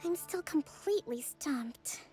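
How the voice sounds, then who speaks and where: Game role: young female strategist, high gentle voice, measured and formal, light music behind. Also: animated. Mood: weary. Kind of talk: video game voice acting.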